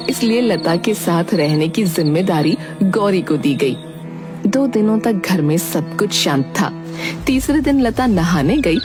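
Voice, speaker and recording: Low-voiced woman storyteller over music. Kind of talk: scripted narration of an animated story.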